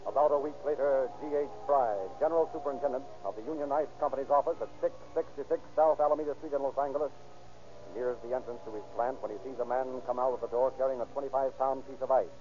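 A sober male narrator played by an actor delivers scripted crime narration at a rapid clip. The sound is a muffled 1930s radio recording. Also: mid-range voice, fairly even intonation, mellow, soft background music, natural voice.

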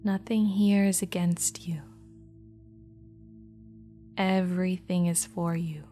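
A woman guiding a meditation in a soft, slow, half-whispered voice. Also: on the low side, flat delivery. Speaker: meditation guide. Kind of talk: guided meditation.

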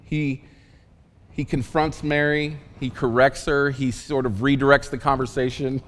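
Conversational pastor in an echoing hall. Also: male voice, mid-range voice, level tone, a little slow. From Oklahoma. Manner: conversational, emphatic preaching with asides. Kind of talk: sermon.

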